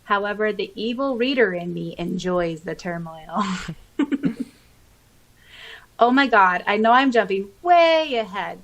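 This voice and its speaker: A woman co-hosting a podcast, reading listener comments aloud with expressive, giggly, chatty reactions. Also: mid-range voice, poor audio. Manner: expressive, giggly, interjecting exclamations. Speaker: podcast co-host.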